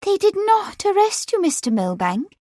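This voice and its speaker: A woman voicing a girlish, excitable young upper-class lady in an audiobook, breathless and gushing. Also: very high-pitched, moderate pace, no echo, clean studio sound, pitched above the performer's own voice. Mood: admiring delight.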